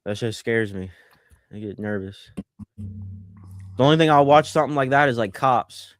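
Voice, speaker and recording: A man's voice: mid-range voice, moderate pace, no echo, clean studio sound, soft background music. A man co-hosting a sports podcast, joking and teasing his friends in casual banter. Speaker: podcast co-host.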